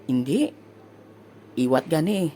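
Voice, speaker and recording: A man's voice: on the high side, expressive, a little slow, warm tone. A man playing an easygoing Filipino fiancé in a comic audio drama, talking casually. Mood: sheepish. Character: easygoing fiancé working at a massage clinic.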